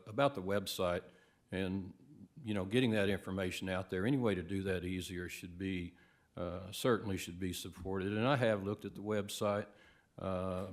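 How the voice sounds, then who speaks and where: A hoarse man with a cold, giving a measured, halting public comment at a county meeting. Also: lowish voice. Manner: measured, halting, many fillers.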